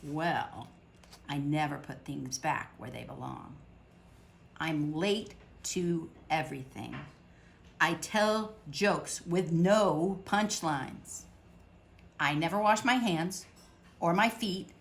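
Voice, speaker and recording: A woman reading a picture book aloud to children at storytime, expressive, with dramatic pauses. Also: deep voice, gravelly, a little hiss or hum.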